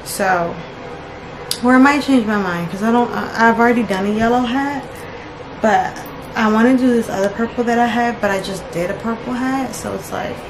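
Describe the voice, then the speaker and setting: A woman's voice: on the high side, moderate pace, noisy background. A young woman in her bedroom, chatting casually about her crochet plans, thinking aloud and correcting herself. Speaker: crochet vlogger. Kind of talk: casual chat. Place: bedroom, indoors.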